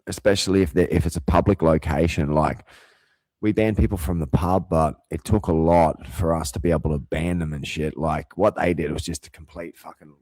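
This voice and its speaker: A man's voice: low voice, darkish tone, no echo. A man delivering a rambling, profane rant as a vlogger. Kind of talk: rambling monologue.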